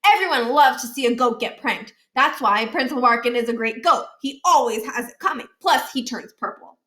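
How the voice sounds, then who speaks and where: A woman's voice: on the high side, expressive, fairly quick, bright, forceful tone. A woman voicing an earnest, self-assured schoolboy prankster who lectures in a storybook read-aloud. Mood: lecturing. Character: earnest, know-it-all schoolboy master prankster.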